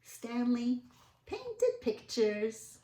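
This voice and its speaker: A woman reads a storybook to small children in a roomy, echoing space. Her voice swings widely as she stretches words for emphasis and checks in with her listeners. She takes it slowly. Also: a little high. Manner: animated, sing-song, drawn-out emphasis. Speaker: children's read-aloud storyteller. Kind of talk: expressive picture-book reading with questions to young listeners. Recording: roomy, echoing indoor sound.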